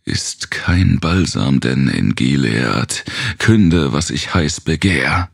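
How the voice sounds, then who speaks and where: Very deep gravelly man reciting darkly. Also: lively intonation, takes it slowly, dry recording, studio quality. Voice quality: gravelly, husky, rasping. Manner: theatrical, brooding, ominous. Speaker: narrator reading a horror poem aloud. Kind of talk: dramatic literary recitation, dark Gothic poetry.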